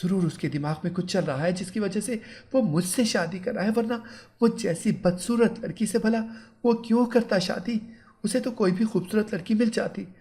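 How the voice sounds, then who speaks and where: A man's voice: high voice, normal speed, warm tone, voice pushed up. A man voicing a bitter, furious young bride in an audio story. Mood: anxious. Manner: emotional, accusing.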